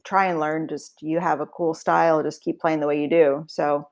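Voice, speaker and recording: A woman's voice: low-pitched, level tone, moderate pace. A woman musician talking casually in an interview, reflective, with many fillers. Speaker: singer and guitarist.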